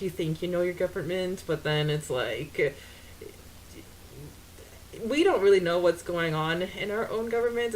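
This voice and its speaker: Female podcast co-host, lowish voice, casual and halting, some background noise. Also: low-quality recording. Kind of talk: casual chat; reading out quotes and listener comments.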